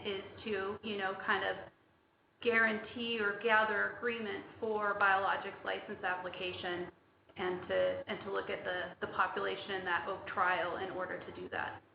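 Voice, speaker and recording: Woman exec explaining stuff, flat and creaky, muffled noisy conference line. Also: medium pitch, normal speed, echoey room. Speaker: company executive. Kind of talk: unscripted explanation on a conference call.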